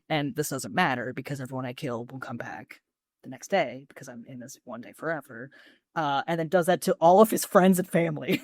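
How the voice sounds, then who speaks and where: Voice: medium pitch, no room sound. A podcast co-host chatting casually, rambling through a show recap with acted-out asides and swearing.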